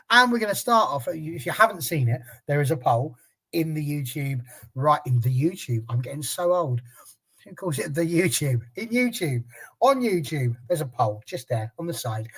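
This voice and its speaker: An English man hosting a casual football panel, chatting in a jokey, self-deprecating way with lively banter. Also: fairly high pitch, poor audio. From England. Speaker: panel host.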